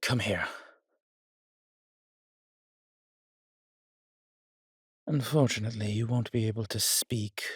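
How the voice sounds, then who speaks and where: A man's voice: fairly low pitch, slightly rough, no room sound, clean studio sound. An actor playing a suave, menacing male vampire in a roleplay audio, teasing and theatrical, flirtatious and possessive. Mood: seductive, coaxing. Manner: slow, teasing, theatrical, speaks in endearments. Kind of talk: roleplay audio acting addressed to the listener.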